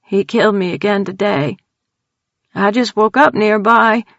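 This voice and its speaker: A woman voicing a frightened, tearful young woman with an East Texas accent, talking in a high-strung, rapid way. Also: steady tone, a bit bright, dry recording. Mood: frantic. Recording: dull dry audio.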